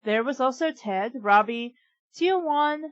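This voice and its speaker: A high-pitched woman reads bad fan fiction aloud at an unhurried pace, switching from deadpan narration to sarcastic asides. The sound is dry and close, and a little dull and lo-fi. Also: no room sound. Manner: deadpan reading broken by sarcastic asides and giggles. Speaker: reader and podcast host. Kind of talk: comic dramatic reading of fan fiction.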